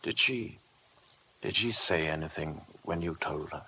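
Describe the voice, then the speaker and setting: A man with an English accent playing a brooding, prickly gentleman in 1950s radio drama, clipped and controlled. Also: very deep voice, unhurried, heavily acted. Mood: urgent. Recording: noisy vintage radio broadcast recording.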